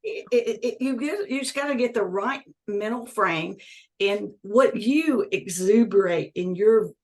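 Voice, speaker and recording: A woman's voice: mid-range voice, measured pace, darkish tone. A woman in her sixties, a guest in an interview, speaking earnestly and emphatically and repeating key phrases. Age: 60s. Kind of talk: conversational interview.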